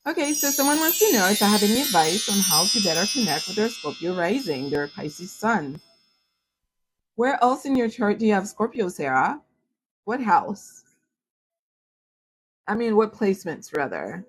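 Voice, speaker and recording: A woman's voice: medium pitch, very animated, moderate pace, long pauses, music in the background, poor audio. A woman whose first language is French, hosting an astrology livestream and chatting casually with viewers, full of "like" and "you know". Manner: self-described formal when speaking English.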